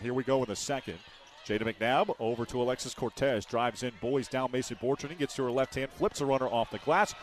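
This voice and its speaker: Male sports radio play-by-play commentator, medium pitch, live basketball call, short descriptive phrasing.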